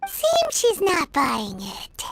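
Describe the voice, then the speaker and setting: A woman voicing a small, excitable childlike fairy companion in a video game, with a squeaky, chirpy delivery. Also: slow pace, gravelly, dry recording, exaggerated voice. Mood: cheerful. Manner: chirpy, exclamatory. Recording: music behind the voice.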